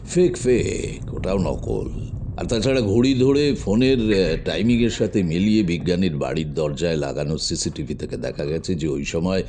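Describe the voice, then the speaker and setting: An actor playing a gruff, commanding middle-aged detective in an audio drama, speaking deliberately with pauses and emphatic repeats. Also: male voice, low voice, dark tone, slight background noise, lightly acted. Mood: pondering, explaining.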